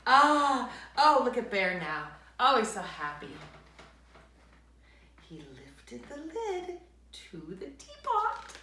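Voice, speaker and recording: A woman narrating a children's story aloud in an animated, dramatic way, speaking directly to her listeners. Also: a little high, slow, slight background noise. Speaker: read-aloud storyteller, teacher. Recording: roomy echo, distant microphone.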